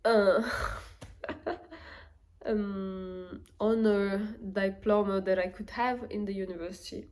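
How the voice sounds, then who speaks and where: A young woman with a Portuguese accent talks to her camera in an unhurried, slow way. She thinks aloud as she goes, pausing on fillers and starting sentences over. Her pitch sits in an ordinary middle range. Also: steady tone, darkish tone. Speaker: vlogger. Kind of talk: casual reflective talk to camera.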